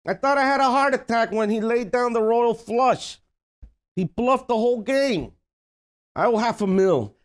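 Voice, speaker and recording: A man's voice: extremely high pitch, unhurried, smooth. A man playing a desperate, scheming middle-aged uncle in a comedy table read, blustering and pleading. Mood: pleading, rueful.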